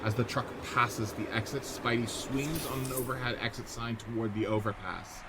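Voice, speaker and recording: A man's voice: medium pitch, steady tone, moderate pace, background noise. A man narrating a radio-play script, reading out stage directions and setting each scene descriptively.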